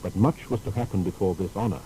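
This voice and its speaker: A man's voice: deep voice, fast talker, rough recording. A man narrating a scripted historical biography in a formal, storytelling manner. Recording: steady faint background noise.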